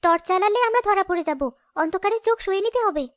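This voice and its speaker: A woman voicing a brave, sharp-witted young village girl in a cartoon story, assertive and reasoning. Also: very high-pitched, fast talker. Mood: confident, urgent.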